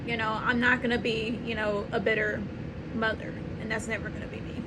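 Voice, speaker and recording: A woman in her twenties, hoarse and raspy from swollen tonsils, talking earnestly and rambling in a casual home vlog. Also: fairly high pitch, flat delivery, brisk. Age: 20s. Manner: earnest, rambling, repeats herself. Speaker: vlogger. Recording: home recording with clear background noise.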